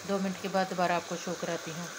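Woman, home cooking-channel host, low and even delivery, phone recording with steady kitchen noise. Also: fast. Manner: chatty, talks viewers through each step. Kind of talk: casual cooking vlog narration. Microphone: phone camera held over the pot.

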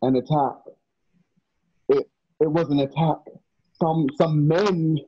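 A man playing a shaken, stubbornly loyal protector in a radio drama, talking haltingly. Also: a little high, steady tone. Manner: halting, stammering. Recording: dull lo-fi video call.

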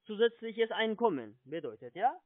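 High-pitched man on a muffled phone. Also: very animated, dry recording. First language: not German. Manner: polite, halting, with repeated confirmations. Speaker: call-centre phone agent. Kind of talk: salesman giving step-by-step instructions on a phone call. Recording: muffled, dry telephone line.

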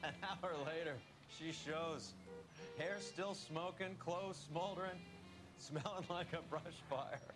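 An actor playing a wisecracking, cynical 1940s police detective in a video game, with a dry, sardonic way of talking. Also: male voice, fairly high pitch, takes it slowly. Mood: amused. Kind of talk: video game dialogue acting. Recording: game music behind.

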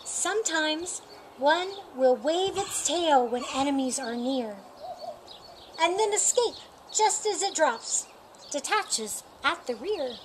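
A middle-aged woman reading a children's picture book aloud in a deliberate, expressive storytime manner. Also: high-pitched. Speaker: storytime reader. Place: outdoors in a sunny yard by a painted wall. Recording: outdoor noise with birdsong. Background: birdsong and outdoor ambience.

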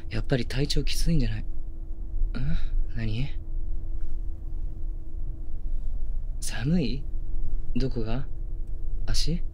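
A man playing a gentle, caring teenage schoolboy boyfriend in an intimate ASMR voice drama, speaking softly and breathily, often in a whisper. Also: medium pitch, unhurried, slight background noise, lightly acted. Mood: worried, caring. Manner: hushed, tender, close to the listener. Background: music.

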